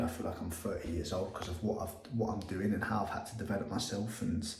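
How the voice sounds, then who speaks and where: A man, talking in a casual, motivational vlog, excitable and swearing often, repeating himself. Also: on the low side, echoey room, low-quality recording. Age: 20s. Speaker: agency owner vlogging. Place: same room as his earlier videos.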